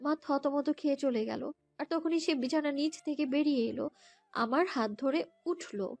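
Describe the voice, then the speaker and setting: A woman's very high, girlish voice, recorded dry and close with soft music underneath. She narrates a romantic story in the first person, expressively, as the lead character. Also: moderate pace, no echo. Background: background music.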